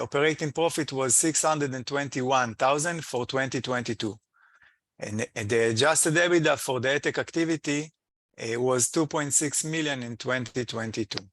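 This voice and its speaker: A man presenting company financial results as an executive on an earnings call, in a halting, hesitant delivery with slightly non-native phrasing. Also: medium pitch, fairly bright tone, dry recording.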